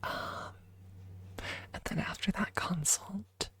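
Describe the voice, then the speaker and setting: A woman ASMR streamer speaking in a breathy up-close whisper, softly and deliberately telling casual stories to viewers right at the microphone. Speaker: ASMR livestreamer.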